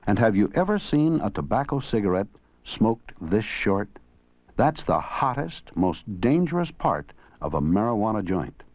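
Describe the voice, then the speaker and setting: A man's voice: lowish voice, very animated, moderate pace, darkish tone, dry recording. A man narrating an educational film from a script, in an earnest, didactic way. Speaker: documentary narrator and presenter. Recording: dull 1980s educational film soundtrack.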